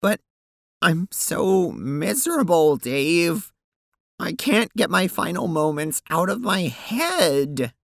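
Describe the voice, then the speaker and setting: A woman acts out an anguished, blunt teenage sprite girl in an audio drama. Her voice swings dramatically in pitch and often drags slowly into a gravelly creak. She speaks haltingly and with open emotion, recorded close and dry. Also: big pitch swings, no room sound, heavily acted. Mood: distressed, miserable.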